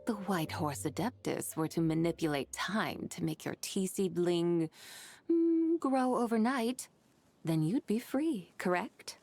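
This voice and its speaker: A woman playing a serene, playful immortal in a video game, speaking formally with gentle teasing. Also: fairly low pitch, animated, measured pace, faint music behind. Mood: coaxing. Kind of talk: video game character acting.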